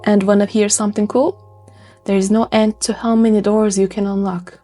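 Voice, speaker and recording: A woman's voice: mid-range voice, flat delivery, normal speed, mellow. A woman presenting a scripted educational video essay, speaking earnestly and expressively straight to the listener. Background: background music.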